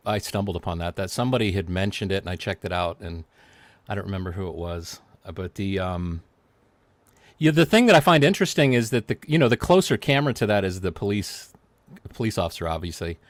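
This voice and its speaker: A man's voice: low voice, lively intonation, fairly quick, no echo. A man chatting casually as a guest, rambling and telling stories with many restarts. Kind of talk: casual chat.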